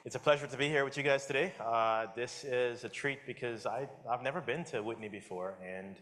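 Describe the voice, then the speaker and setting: A man in his forties from the Caribbean with a slight Caribbean accent, giving a relaxed public science lecture and talking with the audience, with many fillers and restarts. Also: mid-range voice, a little fast. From Guyana, raised in Jamaica. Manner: casual, friendly, asks audience questions, many fillers and restarts. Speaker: guest lecturer, professor.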